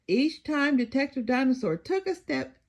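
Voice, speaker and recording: A woman reading a children's story aloud in an animated, deliberate and expressive narration. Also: high voice.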